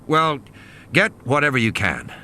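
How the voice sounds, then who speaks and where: A man's voice: mid-range voice, very animated, a little slow, sharp tone, a little hiss or hum, pitched above the performer's own voice, full character voice. A man voicing a commanding, angry male scientist in an audiobook, blunt and scolding. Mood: firm, commanding. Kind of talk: audiobook character dialogue.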